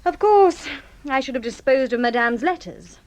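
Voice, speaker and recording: An actress playing a cruel, gloating young villainess in a radio drama, with a melodramatic manner. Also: female voice, high voice, animated, normal speed. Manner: melodramatic, gloating, breaking into whispers.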